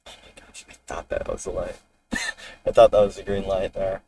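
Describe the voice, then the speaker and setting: A man's voice: low-pitched, flat delivery, moderate pace, warm tone. A man chatting casually to a livestream while driving a car, rambling and talking to himself about the route and traffic. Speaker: storm chaser streaming live. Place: inside a car on the road. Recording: distant in-car mic with road noise.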